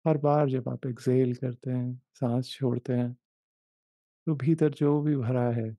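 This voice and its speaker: Man, meditation guide, very slow pace, mellow creaky voice, gentle delivery, close dry sound. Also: medium pitch, steady tone, dry recording. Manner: gentle, unhurried, short repeated phrases. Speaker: meditation guide, life coach. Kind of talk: guided meditation, slow soothing instruction with long pauses.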